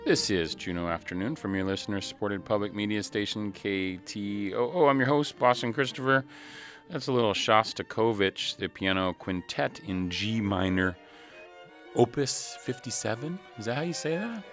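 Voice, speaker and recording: A man with a lowish voice hosting a public radio show from the studio. He chats casually and warmly with his guests, dropping in the odd "um" and "uh". The speech is relaxed and unscripted. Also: normal speed, faint music behind. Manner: friendly, chatty, with fillers. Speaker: radio host.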